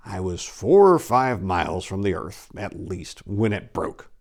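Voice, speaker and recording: Storybook adventurer baron, played by a man: lowish voice, boastful, grandiose, highly animated delivery of tall tales. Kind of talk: dramatic story reading.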